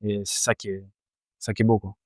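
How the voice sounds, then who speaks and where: A man's voice: lowish voice, flat delivery, a little fast, no echo, clean studio sound. A man in his twenties from France, a fighter answering interview questions casually, hesitant with many fillers and restarts. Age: 20s. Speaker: Muay Thai fighter, guest.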